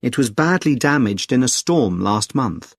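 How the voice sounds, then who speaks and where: A man with a British accent playing a friendly, helpful club secretary in a clearly enunciated scripted listening-test conversation, polite and explanatory. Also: medium pitch, expressive, a little slow, no echo, studio quality. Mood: helpful, informative. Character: friendly, helpful male secretary of a conservation group. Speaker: actor in a listening test dialogue.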